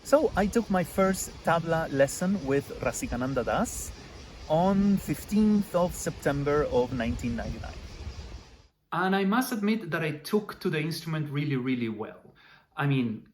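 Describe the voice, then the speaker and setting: A man's voice: fairly high pitch, moderate pace, slight background noise, low-quality recording. Chatty Argentine man in his forties. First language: Spanish. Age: 40s. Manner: relaxed, chatty storytelling. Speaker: vocal percussion teacher. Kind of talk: personal story vlog.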